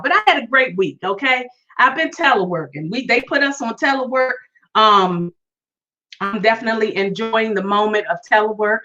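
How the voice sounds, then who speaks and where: Woman in her forties, high bright voice, animated preachy podcast rant, dull lo-fi sound. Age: 40s. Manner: animated, preachy, addresses listeners directly. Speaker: podcast host. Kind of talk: casual solo podcast rant.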